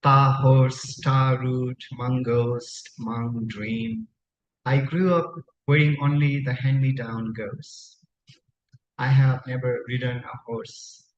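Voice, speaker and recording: Slow-paced man reading poetry, echoey room. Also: mid-range voice, fairly even intonation. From Nepal. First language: Tamang. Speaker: poet. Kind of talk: live poetry reading with spoken introductions. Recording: dull, echoey room recording, faint noise.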